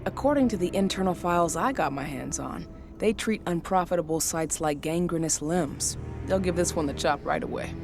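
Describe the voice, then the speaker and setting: A woman's voice: fairly low pitch, moderate pace, music in the background, close to an everyday voice. A woman playing an assured, matter-of-fact planner in a werewolf video game.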